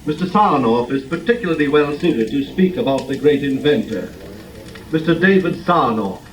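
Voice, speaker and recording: A male radio announcer makes a formal introduction in 1930s style. His voice is fairly high, and the old recording sounds echoey and distant.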